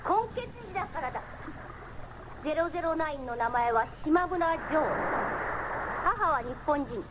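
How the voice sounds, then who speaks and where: A woman voicing a calm, wise telepathic baby boy cyborg in a radio drama, speaking in a matter-of-fact, preachy way. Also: sits high, moderate pace. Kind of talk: 1970s anime-style radio drama acting. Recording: dull, noisy 1979 radio recording.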